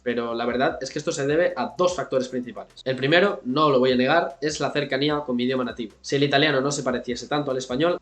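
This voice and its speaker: Young man, Castilian Spanish accent, fast pace, articulate, didactic vlog explanation, echoey room. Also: medium pitch. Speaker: language-learning video creator and host. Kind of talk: explanatory vlog narration to viewers. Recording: roomy, echoing sound.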